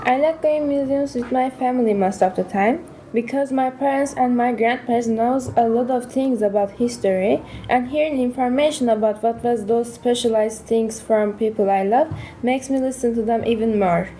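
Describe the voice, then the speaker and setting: A teenage girl from Turkey in a student podcast, speaking slowly and hesitantly. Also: fairly high pitch, dark tone, slight background noise, poor audio. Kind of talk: podcast discussion.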